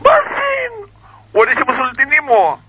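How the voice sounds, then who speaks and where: Male actor as teasing best friend, Bisaya Filipino accent, swooping high voice, exclamatory, slow drawn-out words, radio drama. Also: wildly swooping pitch, sharp tone, dull audio, heavily acted. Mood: teasing, excited. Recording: noisy homemade radio drama recording.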